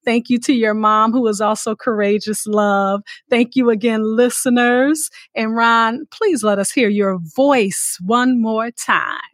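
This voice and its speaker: A woman hosting a podcast, speaking in an effusive, gracious and deliberate way. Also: on the high side, steady tone, no room sound, clean studio sound. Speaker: podcast host. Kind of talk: warm interview hosting with sign-offs.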